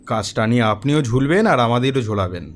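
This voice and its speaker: A man's voice: mid-range voice, animated, fast talker, lightly acted. A man playing a curt, dismissive office manager. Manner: dismissive, brushing off. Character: curt male office manager turning away a job seeker.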